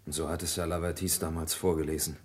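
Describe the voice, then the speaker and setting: A man playing a plain-spoken, curt young farmer in a radio crime drama, with a husky voice. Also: very deep voice, level tone, fast. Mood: gruff, subdued.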